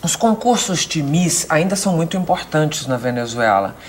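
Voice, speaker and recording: A woman's voice: low-pitched, big pitch swings, normal speed. A woman interviewing a guest on a television show. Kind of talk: studio interview. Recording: TV clip, loud music and crowd.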